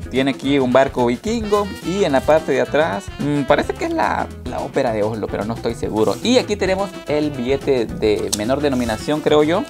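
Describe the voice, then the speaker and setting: A young man from Latin America, chatting to viewers while filming himself at arm's length. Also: medium pitch. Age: young adult. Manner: chatty, addresses viewers directly. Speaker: travel vlogger. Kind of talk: casual travel vlog narration. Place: outdoors in snowy streets and on a bus. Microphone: handheld camera at arm's length. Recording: background music under voice.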